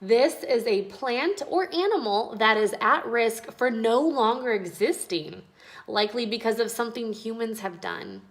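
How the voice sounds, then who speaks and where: A woman reading aloud and explaining words as a teacher speaking to children, in a clear, explanatory way. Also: fairly high pitch, expressive, unhurried. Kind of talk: children's read-aloud and vocabulary lesson.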